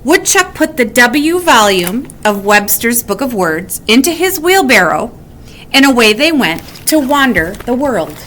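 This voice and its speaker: Woman doing a storybook read-aloud, high voice, super animated, bit of background noise. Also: measured pace. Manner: animated, dramatic emphasis. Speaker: story reader. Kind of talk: expressive read-aloud of a children's bedtime story.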